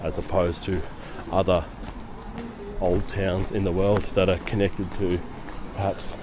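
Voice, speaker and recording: A man with a hoarse voice from a lingering cough, giving chatty walking-tour commentary on busy city streets. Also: deep voice, level tone, unhurried. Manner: chatty, rambling, with fillers. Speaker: travel vlogger. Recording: outdoor street noise. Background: city street noise and traffic.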